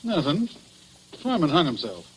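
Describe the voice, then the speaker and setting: An actor playing a shifty, wheedling, lazy American jailer with slangy, needling talk, in 1940s radio drama style. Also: male voice, fairly high pitch, wildly swooping pitch, normal speed. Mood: defensive. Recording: noisy, sizzling 1940s radio broadcast.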